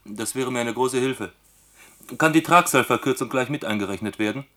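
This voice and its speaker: A man's voice: medium pitch, moderate pace, fairly bright tone, natural voice. An actor playing a construction engineer in a German science fiction radio play, matter-of-fact and technical in his delivery. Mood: courteous.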